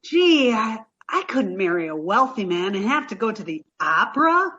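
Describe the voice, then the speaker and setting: An actress playing a sweet, naive, dim-witted young woman in an old-time radio sitcom style, earnest and slow on the uptake. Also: mid-range voice, big pitch swings, bright, forceful tone, muffled recording. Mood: naive, chirpy.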